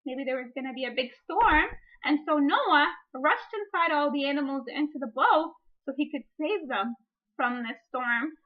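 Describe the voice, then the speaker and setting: High-voiced woman teaching through a read-aloud. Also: moderate pace. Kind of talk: picture-book reading with teaching explanation. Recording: dull lo-fi recording.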